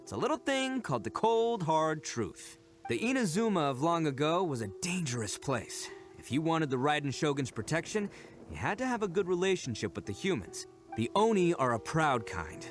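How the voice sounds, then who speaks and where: A brash young oni gang boss, earnest and proud, highish voice, music playing behind. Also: male voice, sing-song, moderate pace. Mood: frank, explanatory. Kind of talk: scripted video game voice acting.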